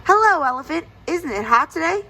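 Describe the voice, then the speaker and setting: A woman's voice: very high-pitched, big pitch swings, fairly quick, vocal fry, pushed and bright, slight background noise, pitched above the performer's own voice, full character voice, rough recording. A woman voicing Anansi's part in a children's storybook, a sly male trickster with a coaxing, insistent way of talking. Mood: friendly, scheming. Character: sly lazy trickster spider Anansi, eager and pushy, male.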